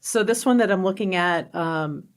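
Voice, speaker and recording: A woman with a somewhat low-pitched voice co-hosts a casual podcast. Her conversation is upbeat and appreciative, in an informal back-and-forth style. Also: moderate pace. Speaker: podcast co-host.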